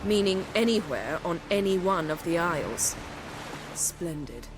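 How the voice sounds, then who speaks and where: A woman playing a sharp-tongued, commanding sorceress in a video game, with a curt, dry, authoritative delivery. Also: fairly low pitch, lively intonation, a little slow, background noise. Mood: sardonic. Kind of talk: video game cutscene acting.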